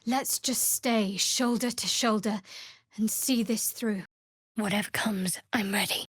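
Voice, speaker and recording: A woman's voice: mid-range voice, a little slow, a bit bright, husky, no room sound. A woman playing a guarded, sardonic young female cleric in a video game, with a dry, aloof, clipped delivery. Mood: quiet, sincere. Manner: dry, aloof, clipped sarcasm with earnest undertones. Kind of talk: video game character voice acting.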